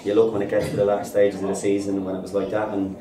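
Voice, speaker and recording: A man's voice: lowish voice, completely flat delivery, far from the mic. An Irish man in his thirties, a football manager giving an upbeat post-match interview, with "listen" in many of his answers. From Ireland. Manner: upbeat, fast and repetitive, says "listen" a lot. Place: bare indoor room at a stadium. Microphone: reporter's handheld recorder held out. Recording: echoey room, off-mic, crowd behind.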